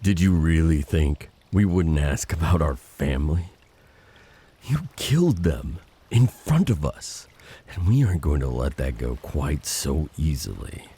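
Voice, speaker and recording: A very low, raspy male monster acted by a man for an audio drama. He is vengeful and reckless, delivering formal threats that swing widely in pitch. His menace is bitter and deliberate. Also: very animated, mellow, full character voice. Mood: bitter rage. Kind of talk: dramatic audio roleplay acting.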